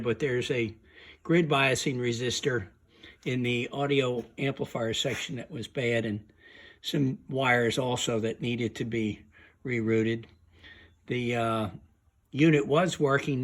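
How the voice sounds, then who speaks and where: A man giving an informal, unscripted demonstration as a hobbyist, explaining haltingly and hesitantly with trailing phrases. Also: medium pitch. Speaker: radio restoration hobbyist demonstrating a restored set.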